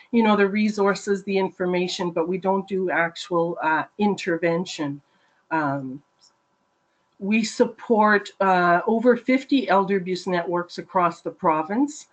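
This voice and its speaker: A woman working as a regional consultant, giving a steady, informative webinar presentation over a video call. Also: on the low side, rough recording.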